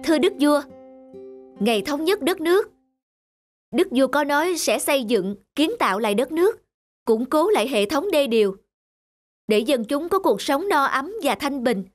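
An earnest, respectful cartoon palace guard. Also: female voice, sits high, animated, moderate pace, pauses often, soft background music. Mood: pleading respectfully. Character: humble, earnest young guard, wise royal subject, male. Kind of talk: animated folktale voice acting.

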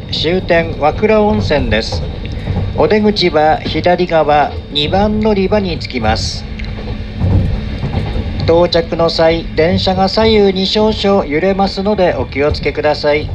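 A fairly high-pitched man makes train announcements as a conductor. He is polite and formal, going phrase by phrase. The sound is a muffled onboard PA, with music and vehicle noise. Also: expressive, slow.

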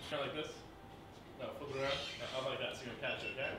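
A young man in a café talking a friend through a magic trick with steady, instructive patter. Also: medium pitch, racing, very echoey, recorded from a distance, loud music behind the voice. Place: small café indoors.

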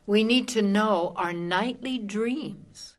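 A woman playing a driven, earnest sixty-year-old sage in a radio drama, speaking in deliberate, solemn oratory. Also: mid-range voice, close to an everyday voice. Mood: solemn, urgent. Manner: declamatory, preaching, deliberate.

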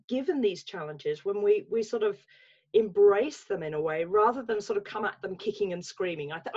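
A woman's voice: medium pitch, a little mellow. English woman, reflective, dull call audio. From England. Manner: reflective, many fillers and restarts. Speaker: guest, life coach. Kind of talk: casual video-call interview. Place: home room with bookshelves. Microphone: laptop webcam mic on video call.

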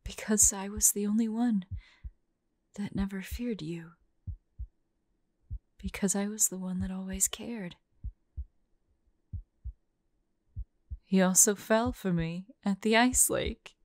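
A woman voicing a tormented young monster girl fighting bloodthirst, tender and frightened, halting and often dropping into whispers in an intimate audio roleplay. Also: medium pitch, darkish tone, dry recording, close to an everyday voice. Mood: tender, disbelieving.

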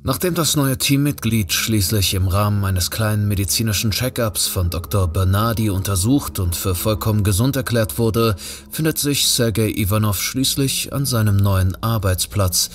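A man's voice: lowish voice, moderate pace, hardly any pauses. A man reading scripted narration for an audio drama. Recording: music bed under narration.

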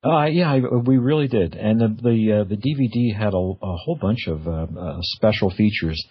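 Husky, low-pitched male radio host. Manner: rambling, halting, self-correcting. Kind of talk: casual radio interview. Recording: slightly dull, lo-fi audio.

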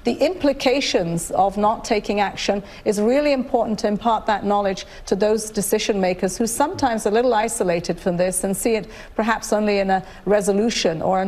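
A middle-aged woman from Nigeria speaks in a live television interview. She is earnest and measured, pausing with fillers and restarting her sentences. Her voice sits in an ordinary middle range. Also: mid-range voice, a little mellow. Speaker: guest, UN official. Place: studio, indoors.

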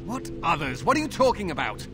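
An actor voicing a tormented, defiant young knight in a video game, anguished and halting, bitter and suspicious from captivity. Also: male voice, fairly high pitch, big pitch swings, music in the background. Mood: dread. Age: young adult. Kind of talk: dramatic video game voice acting.

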